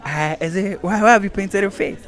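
A man's voice: sits high, fairly quick, a bit bright, some chatter behind. A young Ghanaian man with a Ghanaian accent hosting a teasing outdoor quiz interview at night, laughing often and slipping into Pidgin. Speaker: street interviewer host. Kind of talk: playful campus quiz interview. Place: outdoor rooftop terrace at night. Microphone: handheld mic.

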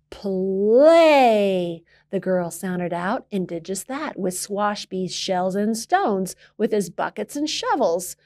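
A woman reading a children's picture book aloud as a library storyteller, expressively, with emphasis and dramatic pauses. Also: medium pitch, darkish tone.

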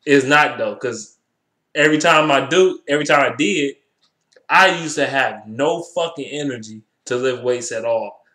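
A young American man talks casually to a webcam in an echoey room. His voice sits at an average pitch. He speaks in loose slang with plenty of swearing and circles back to repeat his points. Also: normal speed, pushed and bright. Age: 20s. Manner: slangy, heavily profane, repeats himself. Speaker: vlogger. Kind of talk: casual chat to camera. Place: small bare indoor room. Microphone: webcam at arm's length.

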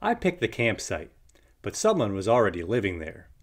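A man narrating a picture book aloud for young children in an expressive storytelling manner. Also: fairly low pitch, moderate pace.

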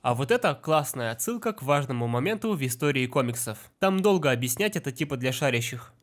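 A man's voice: mid-range voice, expressive, fast, soft background music. A man delivering scripted film commentary as the narrator of a video essay.

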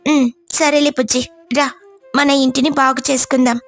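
A woman voicing a good-natured, apologetic mother sparrow in a cartoon story, gentle and conversational. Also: sits high, flat delivery, moderate pace, vocal fry, soft background music. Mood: resolved.

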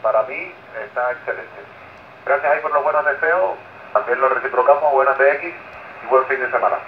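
A man comes through a radio, narrow and echoing, with clear noise around the voice. His pitch is average. He talks courteously, trading call signs and reports as an amateur operator. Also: a little fast. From Chile. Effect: radio transmission. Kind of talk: casual ham radio contact with call signs. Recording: noisy, narrow, echoing shortwave radio reception.

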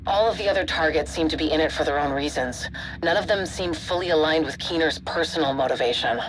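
A woman's voice: sits low, fast, natural voice, poor audio. A woman playing a driven, hard-edged agency commander in a video game drama. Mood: frustrated. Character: driven, frustrated female agency commander hunting a rogue.

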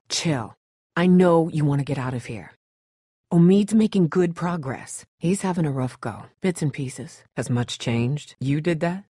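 A woman's voice: sits low, a little mellow, husky, dry recording, clean studio sound. A woman playing a tough, blunt but caring survivor of an apocalypse in a dramatic video game. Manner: blunt, urgent, no-nonsense.

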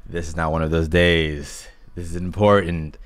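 A man in his twenties with an American accent, reacting casually and slangily, animated and easily flustered. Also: sits low, normal speed. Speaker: reaction video host. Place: small indoor room.

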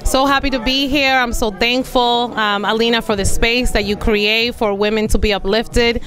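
A woman's voice: fairly high pitch, steady tone, moderate pace, fairly bright tone, faint music behind. Woman, 40s, life coach, earnest, lots of ums, handheld mic, indoor event room. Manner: earnest, promotional, many fillers. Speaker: life and business coach, author. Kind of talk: event interview testimonial. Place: indoor event room against a plain wall.

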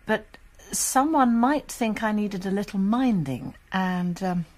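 An actress playing a calm, composed college nurse and amateur sleuth in a radio drama, dry and quietly firm. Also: female voice, medium pitch, slow. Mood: wry. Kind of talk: BBC radio drama acting.

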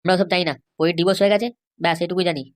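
A woman's voice: lowish voice, steady tone, very fast, close to an everyday voice. A woman voicing a defiant, hot-headed young married man in a comic cartoon, blustering and argumentative.

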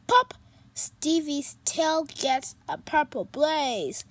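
A storyteller reading a children's picture book aloud in an expressive, animated way. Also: sits high, extremely slow, no room sound. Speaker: storybook reader.

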